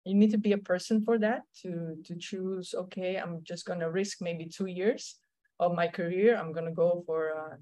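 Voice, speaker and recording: A woman's voice: lowish voice, fairly even intonation, normal speed, warm tone. A woman with a Slovenian accent, a tennis coach telling her story in an interview, with many fillers and restarts. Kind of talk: conversational interview answers.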